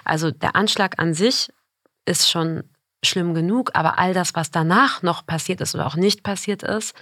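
A woman's voice: fairly low pitch, normal speed, fairly bright tone, dry recording, studio quality. A woman reporter talking reflectively in a casual conversation, sometimes searching for words.

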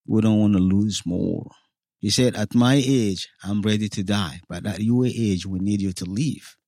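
A man's voice: lowish voice, measured pace, dark tone, dry recording, studio quality. A man in his forties from South Sudan, a guest in an interview, telling his own story earnestly and acting out what others said to him. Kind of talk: personal testimony in interview.

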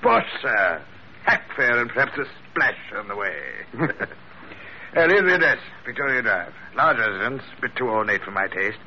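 A man's voice: mid-range voice, expressive, moderate pace, natural voice. A man in an old radio drama playing a courteous, shady gun dealer with ornate, faintly menacing politeness. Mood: oily delight. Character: smooth-talking, shady male gun dealer, courteous and florid. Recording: muffled vintage radio recording, faint noise.

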